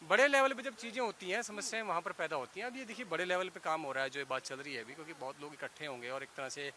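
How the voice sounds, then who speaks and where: A man's voice: on the high side, very fast, pushed and bright. A man debating on a panel, insistent and repetitive, mixing in English words, over slight hiss.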